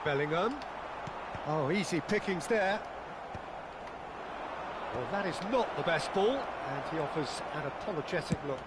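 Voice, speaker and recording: A man commentates a football match in a video game, calling the play as it unfolds. His voice sits high for a man. Loud stadium noise runs behind him throughout. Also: expressive, a little slow, pauses often. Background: football game stadium ambience, ball thuds.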